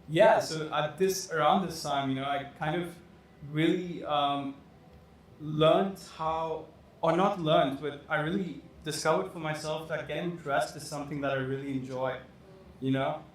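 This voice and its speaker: A young man who grew up in Dubai, talking casually as a guest, rambling with lots of fillers. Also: fairly high pitch. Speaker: fashion designer guest. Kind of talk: casual interview talk. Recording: echoey room with faint noise.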